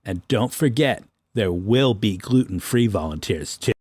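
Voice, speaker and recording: A man's voice: lowish voice, lively intonation, measured pace, a little mellow, husky, no echo, studio quality. An actor playing a pompous, taunting male superhero in a comic audio drama, with a theatrical, mocking delivery. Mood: smug, challenging. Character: pompous, taunting male superhero sergeant, electric-powered, gloating.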